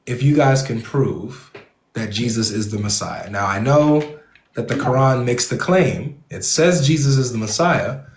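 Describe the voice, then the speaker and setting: A man's voice: medium pitch, lively intonation, measured pace, echoey room, slight background noise. A man hosting an online debate panel who presses his point with repeated, probing questions. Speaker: debate host.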